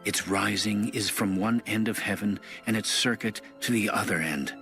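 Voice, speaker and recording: A man giving a solemn, formal and measured reading of scripture as an audio Bible narrator. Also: on the low side, gravelly, a bit bright, soft background music.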